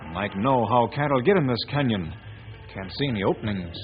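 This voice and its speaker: An actor playing a steady, good-humored scout in an old radio western, speaking clipped broken English with dropped words. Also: male voice, mid-range voice, fast talker, lightly acted. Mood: curious. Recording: dull lo-fi old radio recording.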